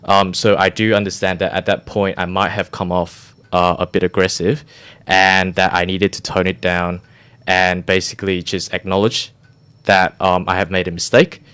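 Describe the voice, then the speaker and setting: A man on a student radio panel, speaking hesitantly with many pauses and ums. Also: sits low, flat delivery, a bit bright, clean tone. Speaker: student guest.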